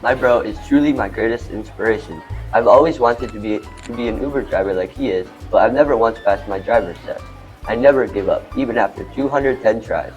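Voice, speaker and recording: A man's voice: on the low side, brisk, dull audio, faint music behind, lightly acted. A man acting a cheerful, boastful food truck owner in an amateur radio play, with earnest, theatrical, formal wording. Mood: cheerful, dramatic.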